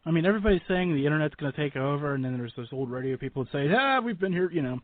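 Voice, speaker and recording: A man hosts a radio interview in a chatty, informal style. He talks very quickly at a middling pitch. The sound is dry and narrow, with little high end. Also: animated, no echo. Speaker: radio show host, interviewer. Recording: dry but narrow lo-fi audio.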